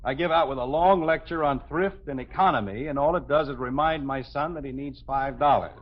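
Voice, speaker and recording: A man's voice: medium pitch, fairly quick, natural voice. A man with an American accent playing an exasperated, thrifty father in a 1950s radio sitcom, blustery, sarcastic and lecturing. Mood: sarcastic, stern. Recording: muffled old radio recording.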